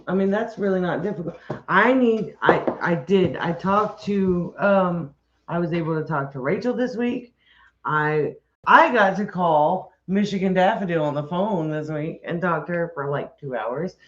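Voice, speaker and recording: A woman's voice: on the low side, moderate pace. Woman rambling on a home livestream, echoey room, kinda muffled audio. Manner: chatty, rambling with restarts. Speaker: homestead channel co-host. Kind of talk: casual livestream chat. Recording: roomy, dull livestream audio.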